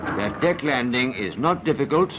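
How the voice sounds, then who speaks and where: An actor narrating as a grave, admonishing naval voice in a 1940s dramatised training film, emphatic and didactic. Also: male voice, medium pitch, expressive, normal speed, close to an everyday voice. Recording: very noisy 1940s film soundtrack, engine din.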